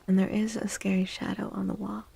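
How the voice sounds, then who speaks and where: A woman streaming tarot readings in a soft ASMR style, gentle and breathy, often near a whisper with unhurried pauses. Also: medium pitch, level tone.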